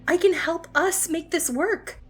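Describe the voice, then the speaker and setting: A woman plays a sensitive, easily hurt teenage girl in an audio roleplay. Her voice is high and she speaks earnestly, with faint music underneath. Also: measured pace. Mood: pleading, insistent.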